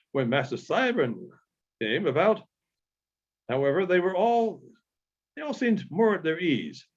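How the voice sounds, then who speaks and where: A man reading literary narration aloud in a halting way, with frequent pauses and small stumbles. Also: fairly high pitch. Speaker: audiobook reader. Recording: dull, lo-fi sound.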